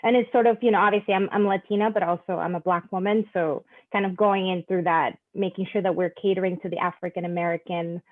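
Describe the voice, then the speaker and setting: A woman's voice: on the low side, fairly even intonation, fast, few pauses, no echo. A woman from Panama, a guest chatting casually and laughing often, heard over a video call. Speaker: guest, wine events founder. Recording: tinny phone-like call audio.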